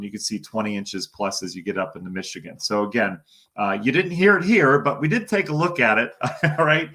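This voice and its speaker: A hoarse man giving a casual live-streamed weather briefing, thinking aloud as he talks through forecast maps. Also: fairly low pitch, bright, forceful tone. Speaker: TV meteorologist.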